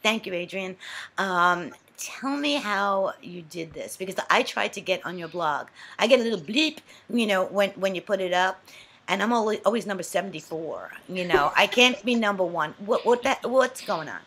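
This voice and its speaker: A woman's voice: medium pitch, lively intonation, normal speed, fairly bright tone. A middle-aged woman hosting a casual interview, speaking into a headset on a video call. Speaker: host interviewing a guest.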